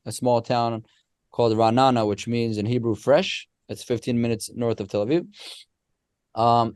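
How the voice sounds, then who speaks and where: Smooth-voiced man. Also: medium pitch, dry recording, clean studio sound. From Israel. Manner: animated, explanatory storyteller with many restarts. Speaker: guest, company co-founder. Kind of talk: casual podcast interview.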